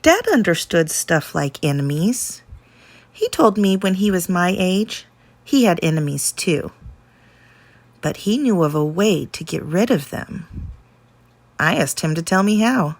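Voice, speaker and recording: A woman with a fairly low voice reads a children's storybook aloud. Her delivery is expressive and deliberate, leaning on dramatic pauses. There is some creak in her tone, and the recording is dry and close. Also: no room sound. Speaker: teacher reading a storybook aloud.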